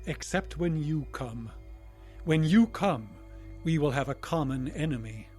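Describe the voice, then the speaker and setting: A man as a defiant human, higher-pitched voice. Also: expressive, a little slow, a little mellow, faint music behind. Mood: defiant, wary. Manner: challenging, terse. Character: defiant human man confronting an alien enemy. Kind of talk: audio drama dialogue.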